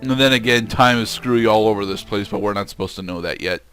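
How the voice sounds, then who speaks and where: Halting man commentating a game. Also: lowish voice, fairly bright tone, soft background music. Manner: halting, hesitant phrasing. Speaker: let's play co-commentator. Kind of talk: casual game commentary chat.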